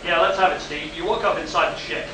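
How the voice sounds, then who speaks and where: An actor playing a skeptical, exasperated rocket base boss in a live sci-fi radio play, no-nonsense and pressing. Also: male voice, on the high side, fairly even intonation, fast, lightly acted. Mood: concerned. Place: live performance in a room at a convention. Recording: echoey, distant, noisy live convention stage recording.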